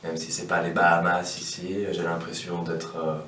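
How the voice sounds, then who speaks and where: A French man in his twenties, a musician, answering interview questions slowly and hesitantly. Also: low voice, completely flat delivery, fairly bright tone. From France. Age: 20s. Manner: slow, hesitant. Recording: roomy, distant, faint noise.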